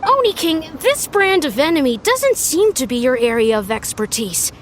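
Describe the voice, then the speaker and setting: A woman's voice: high-pitched, big pitch swings, moderate pace, a little hiss or hum. A woman playing a small, grandiose battle mentor in an anime game, speaking in a lofty, formal, instructive way. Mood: sagely.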